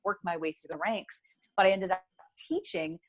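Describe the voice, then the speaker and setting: A woman's voice: fairly low pitch, normal speed. A middle-aged woman from Northern Ontario, with French as her first language, answering interview questions in the articulate, polished manner of a former broadcaster. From Northern Ontario, Canada. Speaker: guest, business owner.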